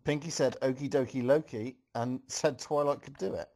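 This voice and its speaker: A man's voice: medium pitch, fairly even intonation, moderate pace, darkish tone. A man reading a story aloud to a group as host, with amused, joking asides.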